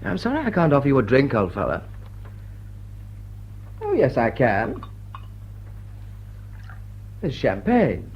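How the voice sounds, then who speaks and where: Languid, wry bachelor. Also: male voice, medium pitch, wildly swooping pitch, slow pace, long pauses, slightly rough, exaggerated voice. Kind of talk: stage-comedy radio drama acting. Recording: dull, muffled radio drama recording.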